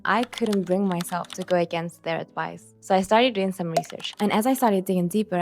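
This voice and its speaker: Calm woman. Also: lowish voice. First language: Italian. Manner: calm, earnest, speaks straight to the viewer. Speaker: video creator. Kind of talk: reflective self-help monologue.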